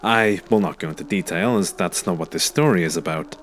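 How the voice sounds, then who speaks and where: A man's voice: low voice, brisk, slight background noise. A man reading a first-person scary story aloud as a scripted narration. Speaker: narrator reading submitted ghost stories. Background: music.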